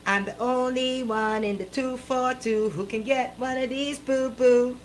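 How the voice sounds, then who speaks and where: A woman with a Bahamian accent hosting a live radio show with casual banter, talking in a playful, animated, colloquial way. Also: high-pitched, measured pace, slight background noise.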